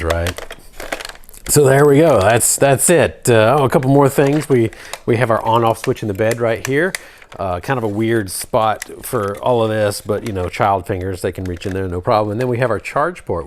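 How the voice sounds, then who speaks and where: A low-pitched man talks casually through a nostalgic hobby show-and-tell. He rambles and thinks aloud as he goes. Faint noise and clicks sit behind his voice. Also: darkish tone. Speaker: RC hobby channel presenter. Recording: clear background noise with clicks.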